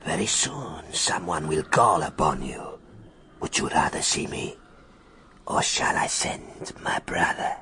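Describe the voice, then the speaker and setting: Low, whispering, sinister illusionist. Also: male voice, big pitch swings, sharp tone, full character voice. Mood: ominous. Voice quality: breathy, whispering. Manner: slow, formal, ominous delivery. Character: sinister male illusionist, menacing, eerily courteous intruder. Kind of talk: radio drama acting.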